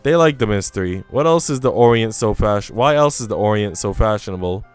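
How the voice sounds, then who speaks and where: A man's voice: lowish voice, animated, brisk, smooth, soft background music, lightly acted. A man voicing a haughty young woman from a visual novel, self-assured and condescending. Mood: knowing, dismissive. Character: haughty, dismissive young woman, quick to judge.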